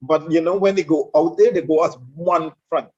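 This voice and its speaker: A man with a Caribbean English accent speaks in a fairly high voice and repeats his points with emphasis, as if lecturing. The recording sounds dull and lo-fi. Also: normal speed, darkish tone. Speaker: guest speaker on leadership. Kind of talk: conversational discussion.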